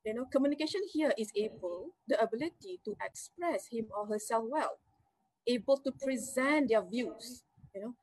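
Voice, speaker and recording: A woman with a Malaysian accent, Malay her first language, answering interview questions as a company executive in an energetic, emphatic way, listing points and adding tag questions. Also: fairly high pitch, normal speed, husky, no room sound, rough recording. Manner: energetic, emphatic, lists points with rising tags.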